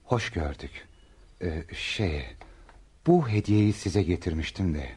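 A male actor playing a hesitant, grateful foreign merchant in a radio play, speaking haltingly. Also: low voice, lively intonation, dark tone, breathy edge, exaggerated voice. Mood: nervous, grateful. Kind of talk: Turkish radio theatre acting.

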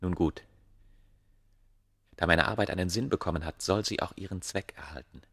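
A man acting an ardent scholar of ancient Egypt in a German radio play, with formal, poetic phrasing and a gravelly voice. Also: low voice, normal speed, fairly bright tone, exaggerated voice. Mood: earnest, resolved.

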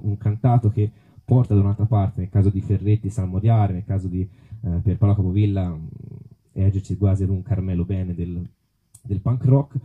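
A man's voice: on the low side, husky, narrow, tinny audio. A man giving an informal public talk as an author, explaining and thinking aloud as he goes. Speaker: author presenting his book on rock music.

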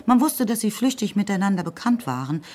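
A woman narrating a literary radio drama in a calm, even, precise way. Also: mid-range voice, fairly quick. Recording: music under the narration.

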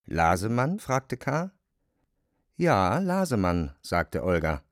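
A man's voice: lowish voice, very animated, slow pace. A man narrating a German radio play and giving the characters' lines as he goes.

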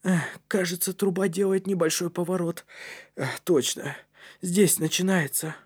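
A man voicing a small, earnest young boy with a squeaky, breathy, effortful voice in a story. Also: unhurried, darkish tone. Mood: straining.

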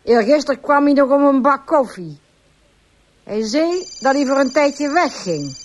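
Casting: a nosy country housewife, high voice with swinging intonation, guarded and offhand, radio play. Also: female voice, sing-song, unhurried. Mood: guarded, curious. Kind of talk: radio drama acting.

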